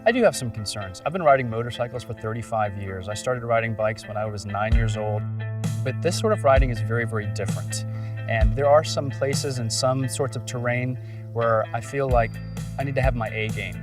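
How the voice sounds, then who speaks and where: A man's voice: mid-range voice, fairly quick. A man in his forties from the Bahamas, an ordained minister, speaking candidly and reflectively in an interview. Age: 40s. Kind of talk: interview testimony. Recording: distant mic with music bed.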